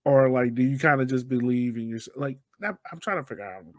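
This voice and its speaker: A man's voice: mid-range voice, fairly quick, no echo, clean studio sound. A man hosting a podcast, asking questions in a casual, conversational way. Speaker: podcast host.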